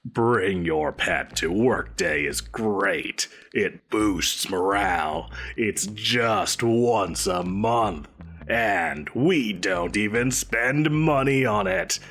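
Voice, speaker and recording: A man's voice: fairly low pitch, lively intonation, extremely slow, fairly bright tone, full character voice. An actor playing a pompous, flustered supervillain boss in an audio roleplay, theatrical and haughty, stammering when he gets defensive. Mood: defensive, justifying. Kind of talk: scripted audio roleplay acting.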